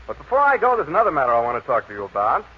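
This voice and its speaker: Male official: formal, deadpan, suspicious, lively intonation, muffled 1940s comedy broadcast. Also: medium pitch, very fast, husky. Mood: dry, accusing. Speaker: comic supporting actor. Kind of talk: 1940s radio comedy acting. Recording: muffled, faintly noisy 1940s radio recording.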